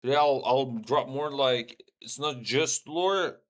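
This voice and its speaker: A man's voice: medium pitch, takes it slowly. A young man from Syria, streaming, talking loosely and bluntly, swearing and often tagging "right?" onto his points. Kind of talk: casual livestream talk.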